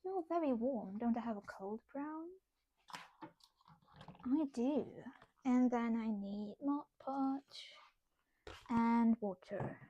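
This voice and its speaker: Brief: young woman, German accent, slightly high voice, loose chatty livestream talk, reads chat while she works, giggles a lot. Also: slow pace, pauses often, dark tone, muffled recording, a little hiss or hum. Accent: German, with some British English. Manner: chatty, laughs often, talks to herself while working. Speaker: streamer. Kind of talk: casual craft livestream chat, reading chat aloud.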